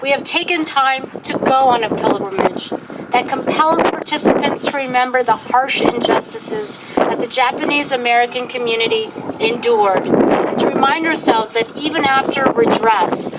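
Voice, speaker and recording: Loud wind noise batters the microphone at an outdoor event. Over it, a woman with a high voice delivers a prepared speech. She speaks formally and deliberately, with a solemn bearing suited to a commemoration. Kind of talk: prepared public speech, read aloud. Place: outdoors at a historic site.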